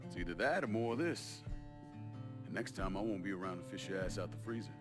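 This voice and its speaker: A man's voice: sits low, expressive, a little fast. An actor playing a cold, commanding man bent on revenge in a video game, terse and menacing. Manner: terse, menacing, matter-of-fact. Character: cold, commanding, vengeful man turned crime boss. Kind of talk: cinematic game dialogue. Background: guitar music.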